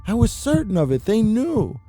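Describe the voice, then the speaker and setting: A man giving a theatrical, deliberate first-person dramatic reading of a horror story, as an anxious, mad murderer confessing. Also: high voice, wildly swooping pitch, mellow, soft background music. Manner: theatrical, deliberate, with emphatic repetitions. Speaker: amateur narrator reading a horror short story.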